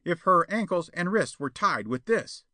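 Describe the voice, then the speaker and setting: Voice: medium pitch, big pitch swings, normal speed, bright, forceful tone, no room sound, voice pushed up. A man voicing a crafty, accusing male villain in a storybook, sly, needling and insistent. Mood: sly, scheming.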